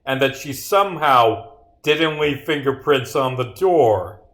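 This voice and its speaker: A man's voice: mid-range voice, animated, slow, fairly bright tone. A man casually livestreaming his own game, reading dialogue aloud and muttering short asides to himself. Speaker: game developer streaming.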